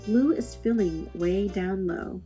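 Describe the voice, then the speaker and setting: Female reader for children's poetry: medium pitch, expressive and measured, plucked guitar music underneath. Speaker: read-aloud host.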